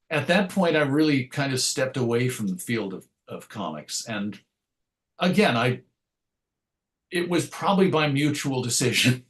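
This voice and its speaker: A middle-aged man answering interview questions in a conversational way, reminiscing with wry, self-deprecating humor. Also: mid-range voice. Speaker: interview guest.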